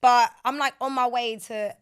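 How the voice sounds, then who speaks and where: A young woman from London, a radio and TV presenter, talking candidly as a podcast guest. Her voice is raspy today from being out a lot, and she laughs easily. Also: a little high, fairly even intonation, moderate pace, a bit bright. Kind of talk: casual podcast interview.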